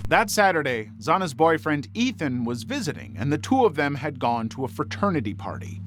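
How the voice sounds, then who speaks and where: A man's voice: mid-range voice, slight background noise. A man reading scripted true crime documentary narration. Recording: faint music bed behind narration. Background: music.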